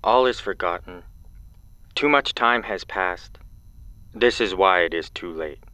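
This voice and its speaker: A man playing a stern, otherworldly spirit emissary in an audio drama, speaking in grave, measured pronouncements. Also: deep voice, sharp tone, no room sound. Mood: grave, cryptic. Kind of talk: scripted audio drama acting.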